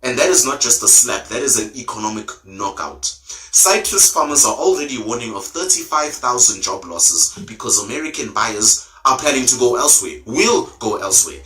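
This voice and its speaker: A man from South Africa gives impassioned commentary straight to his audience. His voice is bright and forceful, and it climbs sharply on every key point. The sound is roomy and echoing. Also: medium pitch. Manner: emphatic, rising, declamatory. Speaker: political commentator in a clip.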